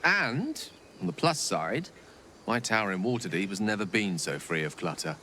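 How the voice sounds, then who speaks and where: A wry, deep-voiced wizard. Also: male voice, normal speed, slight background noise. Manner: wry, eloquent, expressive. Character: erudite male wizard, wry and verbose.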